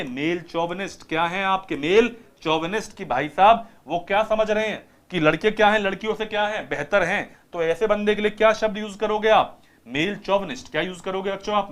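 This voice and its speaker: A fairly high-voiced man teaching vocabulary in a lively, talkative way. He keeps throwing rhetorical questions at his students. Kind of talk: vocabulary lesson retelling a film.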